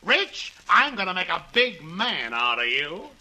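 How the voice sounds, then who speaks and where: Brash, high-pitched radio schemer. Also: male voice, very animated, measured pace, pushed and bright, full character voice. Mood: pushy, excited. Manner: slangy, pushy sales patter. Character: brash small-time male schemer, fast-talking and cocky. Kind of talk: 1940s radio comedy acting. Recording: noisy 1940s radio recording.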